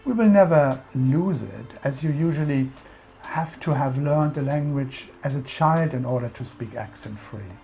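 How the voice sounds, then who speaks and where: A woman's voice: extremely low pitch, normal speed, warm tone, faint music behind. A woman with a German accent, narrating a scripted explainer about English culture in an instructive, emphatic way.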